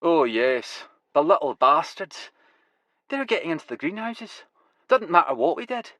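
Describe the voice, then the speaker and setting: Man voicing a Glasgow grower, raspy, high, eager, proud, dry close sound. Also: very animated, unhurried, a bit bright, dry recording. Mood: grim. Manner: eager, wild-eyed, proud. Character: wiry older Glasgow man, excitable cannabis grower.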